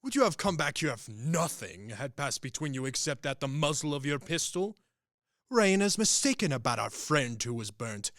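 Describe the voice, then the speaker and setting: A hot-blooded young officer of radio drama, grand and bitterly disillusioned. He declaims with sweeping swings of pitch, recorded close and dry. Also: very animated, moderate pace, fairly bright tone, dry recording. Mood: bitter, grandiose. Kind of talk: stage-style radio play acting.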